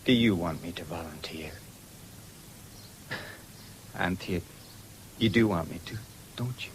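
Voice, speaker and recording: A man's voice: deep voice, unhurried. A man playing a guarded, intense young farmer in a radio drama. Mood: urgent, unsure. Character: moody, hesitant young farmer pressed to enlist. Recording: 1970s radio broadcast with background noise.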